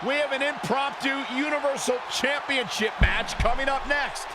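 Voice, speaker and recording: An actor playing an upbeat, polished lead wrestling announcer calling play-by-play in a video game. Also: male voice, very high-pitched, moderate pace, very noisy. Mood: excited.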